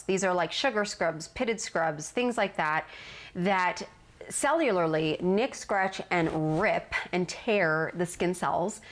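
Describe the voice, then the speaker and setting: Woman skincare vlogger, lowish voice, a bit stuffed up from a cold, earnest explainer. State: nasal from a cold. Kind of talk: casual instructional talk.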